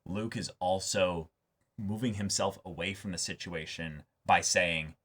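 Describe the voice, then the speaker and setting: A man's voice: sits low, measured pace, fairly bright tone. A man co-hosting a reaction show, talking casually and earnestly, often repeating and restarting phrases as he works through his thoughts. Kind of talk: casual discussion and commentary.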